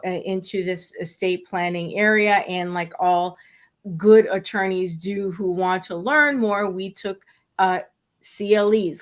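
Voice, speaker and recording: A middle-aged woman, a native Spanish speaker, answering interview questions as an attorney in an earnest, reflective way with many fillers. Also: mid-range voice. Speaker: estate planning attorney, guest.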